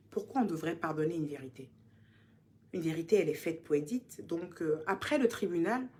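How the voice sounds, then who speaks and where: Young woman, Ivorian French accent, casual live Q&A host, teasing and affectionate with fans, soft quiet asides. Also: lowish voice. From Côte d'Ivoire. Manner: chatty and teasing, calls fans "ma chérie". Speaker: social media personality answering fan questions. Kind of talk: casual live Q&A reading viewer comments.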